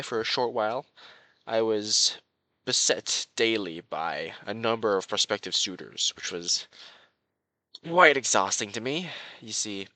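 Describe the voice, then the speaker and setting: Guy doing a young royal prince for romance roleplay, super bright forceful voice, posh formal wording, lovestruck n a bit possessive, really dry close sound. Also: lowish voice, no echo, poor audio. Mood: proud, fond. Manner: formal, flowery, genteel speech. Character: courtly young crown prince, besotted, quietly possessive. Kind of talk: romantic audio roleplay addressed to the listener.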